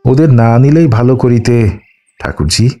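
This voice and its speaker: A man's voice: mid-range voice, normal speed, dark tone, soft background music, natural voice. A man voicing a resigned, anxious young housewife and mother who talks in plain colloquial reasoning, in an audiobook. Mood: gently reproachful.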